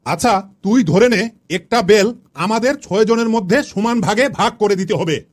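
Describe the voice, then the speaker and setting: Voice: medium pitch, normal speed, natural voice. An actor playing a chatty, inquisitive male schoolteacher who questions pupils familiarly in a comedy cartoon. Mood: approving.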